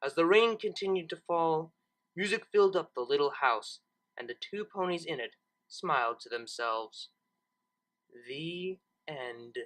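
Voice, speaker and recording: A man narrates a story with a bright, fairly high voice. His reading is expressive, and his pitch moves up and down in a very animated way. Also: unhurried, rough recording. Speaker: narrator reading fan fiction, channel host.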